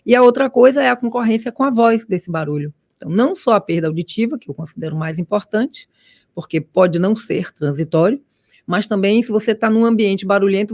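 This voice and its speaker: A woman's voice: medium pitch, no echo. An ear doctor, a woman, explains things for a radio audience. She talks in careful, hesitant restarts, and her voice sounds dry and close to the microphone. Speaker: ear, nose and throat doctor. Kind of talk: expert interview guest.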